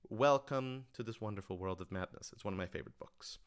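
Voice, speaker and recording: A man's voice: lowish voice, fast talker, clean tone. A man talking casually and thoughtfully as a writer-director answering audience questions on a livestream, working out his explanations as he goes.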